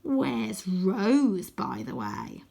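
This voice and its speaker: A woman voicing a gloating male scarecrow villain in a storybook, with a raspy, snarling voice and slow, sneering threats. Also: mid-range voice, sing-song, warm tone, heavily acted.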